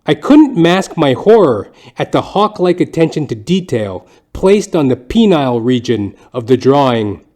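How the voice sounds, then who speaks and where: A man's voice: on the high side, big pitch swings, measured pace, hardly any pauses, mellow. A man narrating a scripted comic story in a theatrical, mock-grandiose, wry manner. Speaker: comedy podcast host, storyteller. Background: music.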